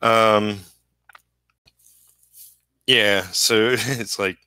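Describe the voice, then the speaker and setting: A man's voice: lowish voice, fairly even intonation, very slow, bright, forceful tone, clear, resonant tone, no room sound. A man chatting casually on a livestream while he works, rambling and trailing off mid-thought in an easygoing, self-deprecating way. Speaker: comic book inker live streaming.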